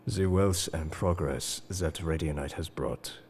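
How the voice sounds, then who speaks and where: A man playing a suave, enigmatic, quietly world-weary secret agent in an intimate, flirtatious audio roleplay, speaking to the listener in a coaxing, theatrical way. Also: extremely low pitch, unhurried, slight background noise. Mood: weary, earnest. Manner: smooth-talking, coaxing, theatrical. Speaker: voice actor in fan audio roleplay.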